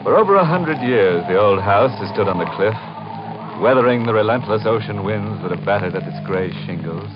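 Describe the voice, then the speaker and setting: Ghoulish male horror-radio host played by an actor: macabre, theatrical, very varied pitch, old muffled broadcast. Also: animated, a little fast, lightly acted. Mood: ominous narration. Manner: macabre, mock-solemn, theatrical. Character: ghoulish, punning male host of a creaking-door horror show. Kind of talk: 1950s radio horror acting. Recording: band-limited old radio broadcast, music behind.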